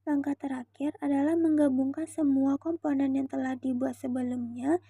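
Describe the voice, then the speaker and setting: High, very soft-toned young woman presenting. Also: steady tone, moderate pace, no room sound, clean studio sound. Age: young adult. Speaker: student presenter. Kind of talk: scripted explanatory narration for a project presentation.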